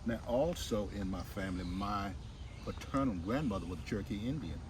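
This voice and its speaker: An older man with a fairly low voice tells personal stories deliberately, repeating his points. He sounds away from the mic, outdoors with loud car noise around him. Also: expressive. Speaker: interview guest. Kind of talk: personal storytelling in conversation. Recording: very noisy outdoor recording, distant microphone.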